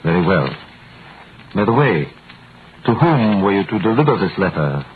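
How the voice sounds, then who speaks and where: A calculating royal prosecutor in a 1930s radio drama. He speaks formally and with authority, his pitch swinging freely over a thin, phone-like vintage recording. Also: sing-song. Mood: guarded, probing. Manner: formal, commanding, measured. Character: smooth, calculating royal prosecutor hiding alarm behind authority. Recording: tinny vintage radio broadcast, faint hiss.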